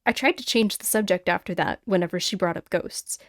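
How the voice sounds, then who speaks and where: A woman's voice: on the low side, brisk, no echo. Chatty woman storyteller, close dry sound. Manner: animated, conversational reactions breaking into the reading. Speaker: podcast host reading listener-submitted paranormal stories. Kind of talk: casual chatty storytelling with read-aloud emails and asides.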